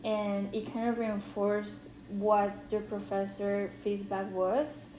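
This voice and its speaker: A woman whose first language is Spanish, playing a counselor in a role-played therapy session, asking gentle questions with many pauses and ums. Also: mid-range voice, level tone, a little hiss or hum. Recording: roomy echo.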